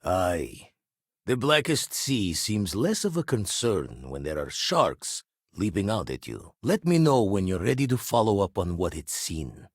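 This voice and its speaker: A man playing a gruff, seasoned space explorer and ex-pirate in a video game, with a husky, rough-edged voice and wry, colorful, slangy phrasing. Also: mid-range voice, no echo, studio quality, heavily acted. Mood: businesslike. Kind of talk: scripted game character dialogue.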